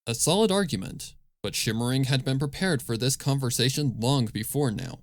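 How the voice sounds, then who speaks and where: A man's voice: medium pitch, normal speed, dry recording, clean studio sound. A man reading scripted fan fiction as the narrator of a story.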